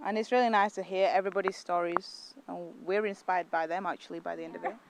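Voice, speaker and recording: A woman's voice: lowish voice, fairly even intonation, quick pace, gravelly. A woman of twenty-four from Bradford, talking hesitantly in an interview with many fillers. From Bradford, England. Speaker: interviewee, stage performer. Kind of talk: casual interview chat.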